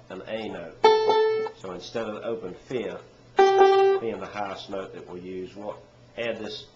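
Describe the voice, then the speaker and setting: A man with a head cold and a stuffy nose, teaching banjo in a relaxed, rambling way with many pauses. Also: fairly low pitch. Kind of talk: casual instructional talk. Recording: echoey room with dull, lo-fi sound. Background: loud banjo playing.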